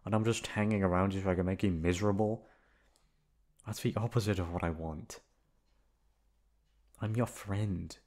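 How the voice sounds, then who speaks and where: A man acting as a college-age delinquent with a fairly low voice and a soft heart for his best friend. He talks casually and teasingly, swearing and hesitating as he goes, in an intimate ASMR roleplay. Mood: hurt, earnest. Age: young adult. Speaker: voice actor.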